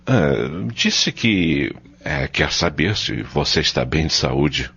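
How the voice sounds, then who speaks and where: Middle-aged Brazilian father, courteous and unsure, rasping bass, halting delivery, lo-fi radio play. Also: sing-song, a bit bright, exaggerated voice. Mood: hesitant. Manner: halting, hesitant. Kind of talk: Brazilian radio drama acting. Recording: dull lo-fi audio.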